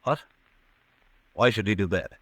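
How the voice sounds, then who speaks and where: A man acting a haunted, guilt-ridden man in an old-time radio drama, grave, halting and intense. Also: on the low side, fairly bright tone, no room sound. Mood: defensive. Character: haunted, guilt-ridden man convinced of his own crime. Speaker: radio actor.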